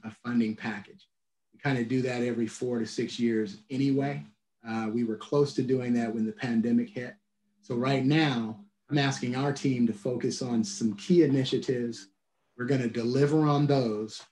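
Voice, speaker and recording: Middle-aged man, transit leader, video call, measured and halting, echoey office room. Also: mid-range voice, steady tone, warm tone, slight background noise. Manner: measured, restarts phrases, many "uh" fillers. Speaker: transit agency leader, keynote guest. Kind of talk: answering questions on a video call. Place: office at home or work.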